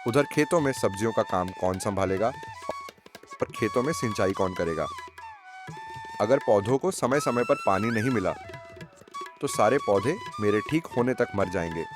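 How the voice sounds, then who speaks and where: A man's voice: fairly low pitch, sing-song, moderate pace, pauses often, music in the background. A man voicing a shrewd farmer husband in a moral story cartoon, theatrical and plaintive. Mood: worried. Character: shrewd farmer husband feigning injury, patient.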